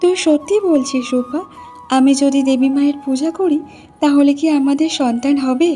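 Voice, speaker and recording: A woman's voice: high-pitched, normal speed, warm tone, faint music behind, lightly acted. A woman playing a meek, sorrowful village wife in a cartoon, speaking in a plaintive, earnest way. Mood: hopeful. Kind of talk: Bengali moral cartoon voice acting.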